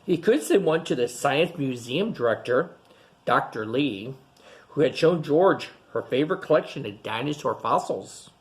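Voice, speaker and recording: An animated reader of picture books for young children, with a mid-pitched voice that moves up and down a lot. The reading is broken up with simple explanatory asides to the listeners. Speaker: storytime reader.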